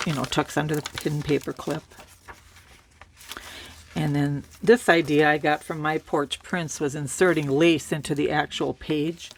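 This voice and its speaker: Raspy woman with a cold. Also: low-pitched. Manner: relaxed, rambling, with many fillers and restarts. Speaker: crafter showing a handmade journal. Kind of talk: casual show-and-tell.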